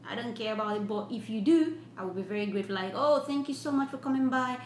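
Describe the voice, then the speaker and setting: Woman livestream host, effusive, slightly high voice, echoey room, faint hum. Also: expressive, fast talker. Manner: warm, effusive, blesses viewers at length; earnest, repetitive preaching tone. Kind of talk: casual live-stream chat, reading viewer comments.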